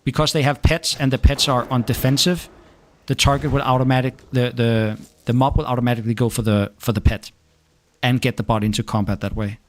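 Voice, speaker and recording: A young man from Denmark with a Danish accent, chatting casually while streaming a game and answering viewer questions, often stopping to restart his sentences. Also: medium pitch. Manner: halting, restarts often, relaxed. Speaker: gaming streamer.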